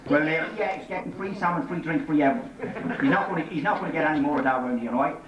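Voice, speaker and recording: A man's voice: fairly high pitch, fast talker, lightly acted. A man with an Irish accent playing a blustering committee chairman who rambles and stammers in an amateur pub comedy sketch. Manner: rambling, stammering, laughing through lines. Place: crowded pub. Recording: muffled, echoey, from far off with loud crowd and noise.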